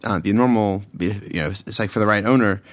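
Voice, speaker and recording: A man hosting a solo tech podcast, his voice slightly raspy from yelling, rambling and often correcting himself. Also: fairly low pitch, fairly quick, no room sound. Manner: rambling, self-correcting, many fillers. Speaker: podcast host.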